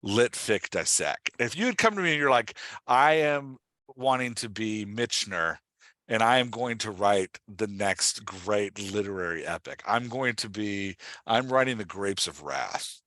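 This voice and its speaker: A man's voice: lowish voice, animated, a little slow, sharp tone, no room sound. A man hosting and coaching in a conversational way, explaining things emphatically and asking leading questions. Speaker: podcast host and writing coach.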